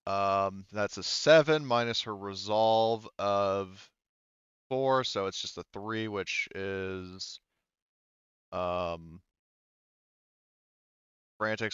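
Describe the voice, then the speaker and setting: Male game master, smooth lowish voice, halting think-aloud delivery at the table, dry but slightly dull lo-fi audio. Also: fairly bright tone, no echo. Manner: halting, thinking aloud while working through rules and dice. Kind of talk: running a tabletop role-playing game, casual chat.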